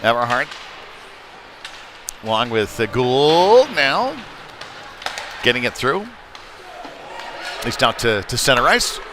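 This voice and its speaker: Male play-by-play commentator for youth hockey, mid-pitched voice, live from a clearly noisy indoor rink. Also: very animated, slow pace, pauses often. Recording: noisy rink ambience, bouncing knocks.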